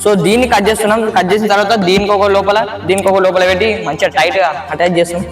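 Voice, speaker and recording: A man's voice: sits high, steady tone, racing. A man with a Telangana Telugu accent hosting a casual how-to vlog, explaining informally step by step and repeating himself. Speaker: host of a DIY experiments channel. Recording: echoey sound with music behind.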